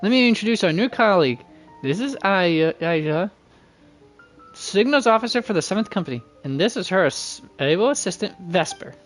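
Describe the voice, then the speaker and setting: A man's voice: sits high, lively intonation, moderate pace. A man hosting a game livestream, reading dialogue aloud and commenting casually, often stumbling and correcting himself. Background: game music.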